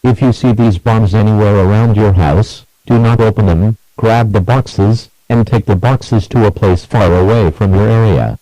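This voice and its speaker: A man reading a scripted emergency alert as an announcer, in a measured, even manner through lists and warnings. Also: lowish voice, no echo, rough recording.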